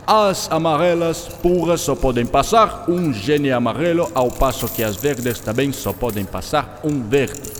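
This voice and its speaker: A man's voice: mid-range voice, a little slow, hardly any pauses, a little hiss or hum, natural voice. Man playing German-accented eccentric scientist in reverberant hall. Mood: excited, playful. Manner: didactic, peppers speech with German words. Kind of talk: scripted radio drama dialogue. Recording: long hall reverb, music bed.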